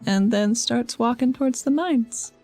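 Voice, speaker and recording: A woman's voice: on the high side, unhurried, mellow, no room sound. A woman who is a player in a tabletop game, casually narrating what her character does. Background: music underneath.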